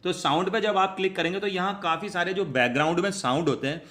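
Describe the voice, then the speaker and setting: A man with a forceful, bright voice pitched a little high. He presents a step-by-step tutorial, chatty and repetitive as he explains each action. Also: fast talker. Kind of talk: step-by-step spoken app tutorial.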